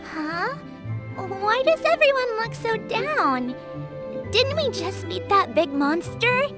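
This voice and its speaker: A very high, animated little child's voice, innocent and wide-eyed, as heard in a video game story scene. Music plays clearly behind it. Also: female voice, slow pace, heavily acted. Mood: innocent, cheerful. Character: innocent, trusting, cheerful little child of a harbor town. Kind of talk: video game cutscene acting.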